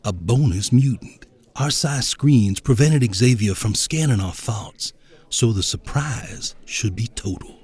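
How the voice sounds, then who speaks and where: A man playing a smug, scheming villainous reverend with a husky voice in an audio drama. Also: mid-range voice, expressive, slow pace, a little mellow.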